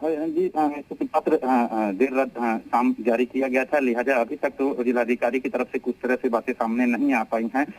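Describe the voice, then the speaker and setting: Male field correspondent, mid-pitched voice, reporting live and unscripted with hesitant repetitions. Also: fairly even intonation, a little fast, few pauses, darkish tone, poor audio. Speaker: news correspondent.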